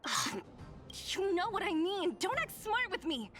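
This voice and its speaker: A woman's voice: very high voice, very animated, a little slow, music in the background, exaggerated voice. An actress voicing a blunt, sarcastic young female fighter in video game dialogue. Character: tough, exasperated young female underworld fighter.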